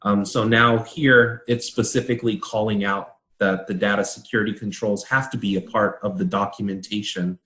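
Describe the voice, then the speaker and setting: A man with a fairly low voice talks as a consultant in an informal discussion. He explains details in a measured way, and the room around him is clearly echoey. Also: fairly even intonation, sharp tone.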